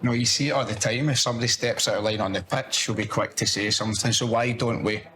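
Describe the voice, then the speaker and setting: A man's voice: mid-range voice, steady tone, fast talker, bright, forceful tone, slight background noise. A Scottish man speaking earnestly and plainly in a campaign video.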